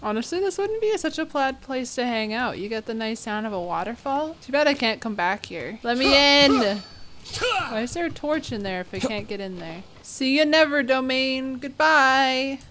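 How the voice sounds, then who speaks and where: A woman streams a game and reacts out loud in a playful, casual way. Her voice is fairly high and bright, with expressive, slangy phrasing. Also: normal speed, a little hiss or hum. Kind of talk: casual reacting gameplay commentary.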